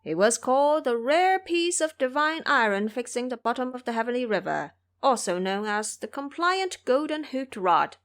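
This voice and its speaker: A woman's voice: sits high, big pitch swings, moderate pace, hardly any pauses, voice pushed up. A woman voicing a brash, boastful male monkey king with a commanding, exuberant manner in an audio drama. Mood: proud. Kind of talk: audio drama character acting.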